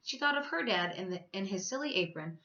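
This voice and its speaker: A woman teacher reading a children's story aloud, with expressive, emphatic delivery and drawn-out words. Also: mid-range voice, dull audio.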